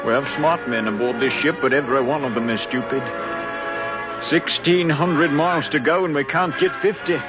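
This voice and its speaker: An actor playing a gruff, fretful chief engineer aboard a ship in a 1950s radio drama. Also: male voice, on the high side, moderate pace, natural voice. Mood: exasperated. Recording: muffled 1950s radio recording, loud music.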